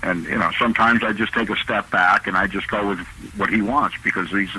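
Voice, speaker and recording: A man's voice: fairly low pitch, steady tone, brisk, bright, forceful tone, slightly rough, low-quality recording. A man, a drummer, talking casually as a guest on a radio interview, rambling and repeating words with lots of fillers.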